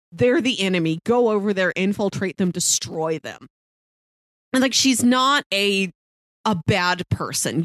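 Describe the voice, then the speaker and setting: A woman's voice: medium pitch, fairly bright tone, no echo. A woman co-hosting a casual discussion of a TV series, recapping in an emphatic way and piling up phrases. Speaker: podcast co-host.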